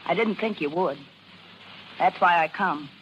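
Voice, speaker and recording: An actress playing a tired, broke carnival dancer in a 1930s American radio drama, speaking hesitant, folksy slang. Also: female voice, lowish voice, a little slow, highs cut off, slight background noise, natural voice. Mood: despairing, resigned. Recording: vintage 1930s radio, faint music behind.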